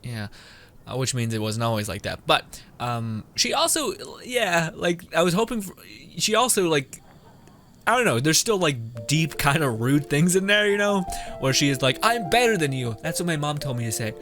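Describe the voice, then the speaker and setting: Streamer, mid-pitched, chatty and rambling, casually profane gameplay commentary with clear game music behind. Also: sing-song, moderate pace, fairly bright tone.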